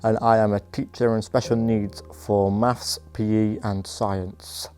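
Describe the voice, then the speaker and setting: A man's voice: lowish voice, flat delivery, a little slow, warm tone, clear, resonant tone, soft background music. A man in his twenties, a teacher, answering documentary interview questions hesitantly, restarting his sentences. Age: 20s.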